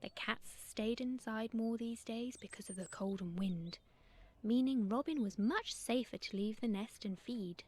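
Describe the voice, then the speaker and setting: Woman reading a kids storybook tale, voice on the high side. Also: unhurried, a little mellow. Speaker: storyteller and author. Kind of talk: scripted children's story narration.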